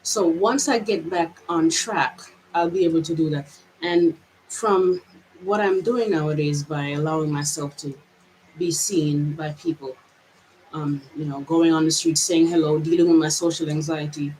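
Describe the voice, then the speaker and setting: A woman's voice: low-pitched, steady tone, moderate pace, darkish tone, slight background noise, poor audio. Hesitant woman, Jamaican-American accent. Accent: mixed Jamaican and American. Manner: hesitant, searching, many restarts. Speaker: vlogger. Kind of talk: reflective personal monologue.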